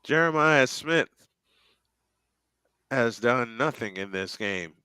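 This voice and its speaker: A man's voice: mid-range voice, lively intonation, slow, pushed and bright, clear, resonant tone, no echo. A man calling a football game play by play on a fan livestream, chatty and folksy. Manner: folksy, chatty storyteller between plays. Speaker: play-by-play announcer.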